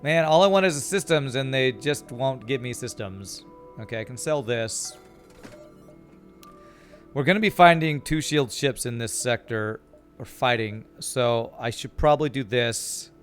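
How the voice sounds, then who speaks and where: Chatty American man streaming a game. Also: mid-range voice. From California, USA. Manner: relaxed, chatty, reads chat aloud and answers. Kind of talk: casual gameplay commentary. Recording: faint music behind.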